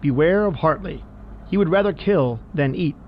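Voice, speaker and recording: A man's voice: a little high, lively intonation, normal speed. Man as grave announcer on muffled, noisy radio. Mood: grave warning. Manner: urgent, formal, emphatic. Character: grave male radio announcer reading an escaped-killer warning. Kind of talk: 1950s radio news bulletin. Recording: band-limited car radio, clear noise.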